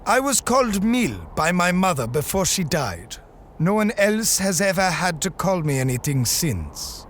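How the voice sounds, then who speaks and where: High-voiced, solemn desert outcast played by a man. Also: very animated, unhurried, heavily acted. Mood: guarded, dry. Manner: terse, formal, solemn phrasing. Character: sick, wary desert outcast, grave and plainspoken. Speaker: voice actor. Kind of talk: post-apocalyptic audio drama acting. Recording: loud ambient noise behind the voice.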